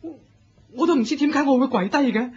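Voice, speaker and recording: An actor playing an earnest, naive young disciple in a Hong Kong Cantonese radio drama, humble and deferential. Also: male voice, very high voice, quick pace, muffled recording. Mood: awed, excited. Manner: deferential, eager.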